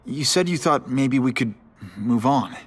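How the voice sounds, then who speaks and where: A man's voice: medium pitch, lively intonation, measured pace, slightly rough. A young man playing a guilt-ridden student superhero in a video game, with a hesitant, conversational delivery. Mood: uneasy. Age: young adult.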